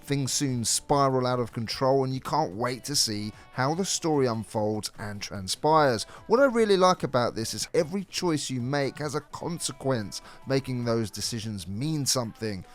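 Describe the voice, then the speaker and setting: A man's voice: medium pitch, animated, normal speed, few pauses. A man reading a scripted video game review as a co-host. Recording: music behind the voice. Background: background music.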